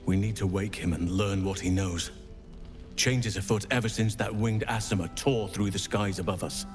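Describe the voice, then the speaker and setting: A man plays a grave fantasy leader in a video game. His fairly deep voice is formal and purposeful with an urgent edge, and music runs underneath. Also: fairly even intonation, moderate pace, close to an everyday voice. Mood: hopeful, grave.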